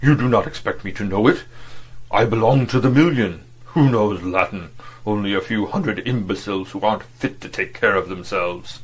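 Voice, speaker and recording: A man voicing a burly middle-aged agent who declaims loudly in a booming bass. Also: moderate pace, slightly rough, low-quality recording. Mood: growling. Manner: loud, declaiming.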